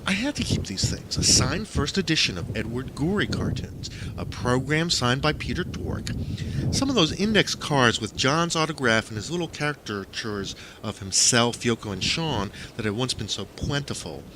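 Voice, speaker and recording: A man's voice: medium pitch, noisy background. A man reading scripted, surreal first-person stories as an audiobook narrator, on a home recording.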